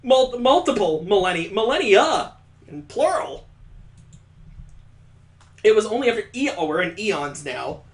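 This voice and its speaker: A man with a slightly high voice reads a story game's text aloud in a mock-solemn tone. He keeps interrupting himself with dry, sarcastic jokes about what he's reading. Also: very animated, a little slow, pauses often. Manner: wry, mocking asides between dramatic readings. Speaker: let's play host.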